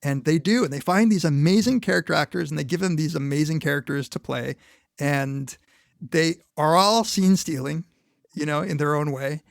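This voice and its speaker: Podcast co-host, male, animated talker, bit of fry, dry studio sound. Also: a little high, moderate pace, no echo. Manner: animated, laughs often, recounts scenes. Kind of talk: casual film discussion.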